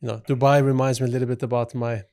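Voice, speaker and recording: Soft-spoken Swedish-raised man telling his story. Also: medium pitch, flat delivery, rapid-fire. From Sweden. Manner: soft-spoken, easygoing, with frequent "you know" fillers. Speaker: guest, company CEO. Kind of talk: relaxed storytelling in an interview.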